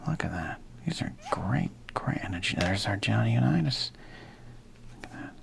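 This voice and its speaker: A man's voice: on the low side, big pitch swings, normal speed, creaky, a little hiss or hum. A man casually commenting while opening packs of trading cards, with wry jokes and half-whispered asides. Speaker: card collector opening packs.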